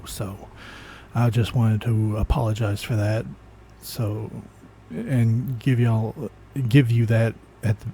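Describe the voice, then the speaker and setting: A man giving casual reaction commentary with a hesitant, halting delivery, recorded on a mic turned down low. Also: mellow. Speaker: host of a reaction video. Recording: faint noise, low mic level.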